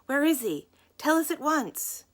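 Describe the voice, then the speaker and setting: A woman's voice: high-pitched, big pitch swings, a little slow, pitched above the performer's own voice. Woman acting a barking, pushy detective man, raised voice. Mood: angry, demanding. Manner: barking, demanding. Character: pushy, gloomy hired detective man, impatient and threatening.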